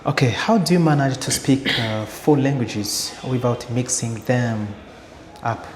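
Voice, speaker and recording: A man's voice: mid-range voice, unhurried. A male interviewer asking short, simple questions and answering often with "mm". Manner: simple questions, frequent "mm" backchannels. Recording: echoey room with long reverb, faint noise.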